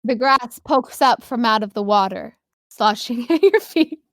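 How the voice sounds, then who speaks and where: A woman's voice: fairly high pitch, lively intonation, a little slow, no echo, studio quality. A woman improvising narration as a tabletop game master, descriptive and conversational.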